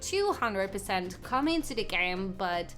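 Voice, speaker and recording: A young woman from the Netherlands with a Dutch accent, chatting excitedly and giggling on a gaming live stream as she reads out viewers' comments. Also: medium pitch, unhurried, faint music behind. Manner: excitable, giggly, reading chat aloud. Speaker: gaming livestreamer. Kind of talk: casual live stream chat.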